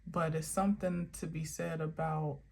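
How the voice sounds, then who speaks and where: A woman's voice: low-pitched, level tone, moderate pace, vocal fry, darkish tone, slight background noise. A woman reading affirmation cards in a casual vlog, musing aloud and often restarting her sentences. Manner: meandering, musing, self-correcting. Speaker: spiritual tarot reader. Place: outdoor garden.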